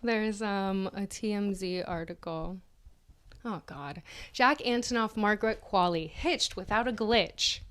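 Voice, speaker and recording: A woman co-hosting a podcast in casual comedic chat, halting and often trailing off. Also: mid-range voice, fairly bright tone, smooth. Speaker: podcast co-host.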